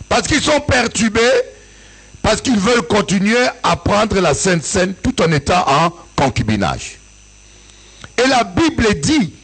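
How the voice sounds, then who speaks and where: A bishop from Africa giving an impassioned church sermon, his voice rising in sing-song peaks and breaking into shouts. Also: high-pitched, vocal fry, breathy edge, rough recording. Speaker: bishop and pastor. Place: church service with an audience.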